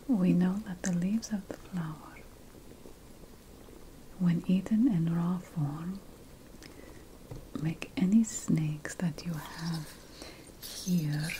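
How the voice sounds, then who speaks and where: Ancient sorceress played by a woman, ASMR fantasy: low breathy whisper, deliberate and sly, faint noise behind. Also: warm tone, rough recording. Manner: slow, deliberate, with dramatic pauses. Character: ancient sorceress apothecary, musing, slyly ominous, instructing her apprentice.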